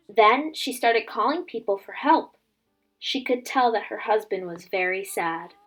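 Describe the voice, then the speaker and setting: A woman reading a children's story aloud as a teacher would, with dramatic, expressive delivery. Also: fairly high pitch. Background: background music.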